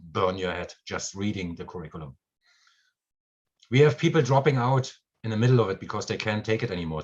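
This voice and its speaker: A man's voice: deep voice, highs cut off. A man with a German accent, hosting a casual live stream and rambling with many fillers and restarts. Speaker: host.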